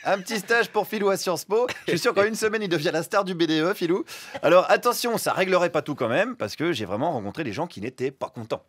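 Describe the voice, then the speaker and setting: A man's voice: high-pitched, fairly bright tone, husky. A man delivering a satirical radio chronicle in an ironic, mocking tone from a studio. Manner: ironic, mocking, quick asides. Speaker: radio comedy columnist.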